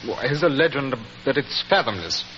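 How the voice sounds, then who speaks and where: An actor playing an anxious, well-bred British lord facing ruin, earnest and genteel, in 1940s radio acting. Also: male voice, mid-range voice, very animated, normal speed, a bit bright. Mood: explaining. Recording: muffled, hissy 1940s radio recording.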